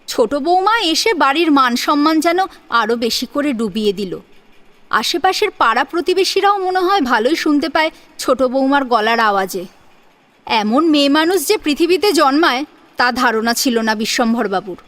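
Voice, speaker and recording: A woman narrating a scripted audio story in an expressive, dramatic, rhetorical manner. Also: sits high, moderate pace. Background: music.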